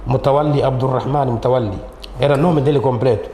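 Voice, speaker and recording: Mellow-voiced Mozambican man in an echoey room. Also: mid-range voice, fairly even intonation, fast talker. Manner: repetitive, often ending on tag questions. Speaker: interview guest, Islamic teacher. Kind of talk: conversational storytelling in an interview. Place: echoey studio. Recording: roomy echo, faint background noise.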